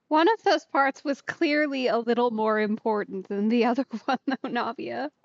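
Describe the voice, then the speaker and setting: A woman's voice: fairly high pitch, normal speed, creaky. A woman giving casual, playful let's play commentary with a wry manner. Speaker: game streamer. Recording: dry, slightly dull microphone. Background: game music.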